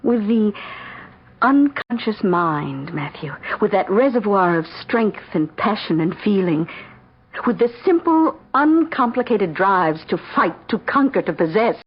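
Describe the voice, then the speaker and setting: Husky, lilting middle-aged witch. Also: mid-range voice, very animated, unhurried. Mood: earnest, soothing. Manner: gentle, well-modulated, breathy delivery. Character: calm, mature, affectionate woman who is secretly a witch. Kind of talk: 1970s radio drama acting. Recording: dull old radio broadcast.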